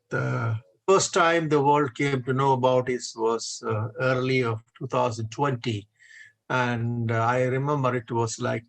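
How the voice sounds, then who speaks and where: Gravelly older man from India. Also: mid-range voice, poor audio. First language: Hindi. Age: 70s. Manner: deliberate, with frequent hesitation fillers. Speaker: guest, retired engineer. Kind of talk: measured conversational interview answers.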